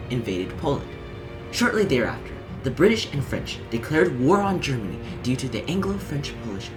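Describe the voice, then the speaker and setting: A man's voice: mid-range voice, low-quality recording. A man reading a scripted educational narration in short, emphatic phrases. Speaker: audiobook narrator.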